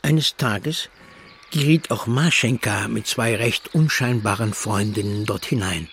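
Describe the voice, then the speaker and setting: A man's voice: on the low side, measured pace, fairly bright tone, slight background noise. A man reading scripted literary prose as a narrator.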